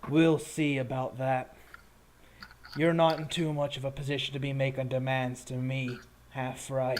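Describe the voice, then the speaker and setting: Man playing a slow, menacing enforcer boss. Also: medium pitch, flat delivery, a little mellow, slight background noise, close to an everyday voice. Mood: scornful, threatening. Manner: slow, taunting, threatening delivery. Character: menacing, cruel enforcer boss of a guarded town. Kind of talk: tabletop roleplay villain dialogue.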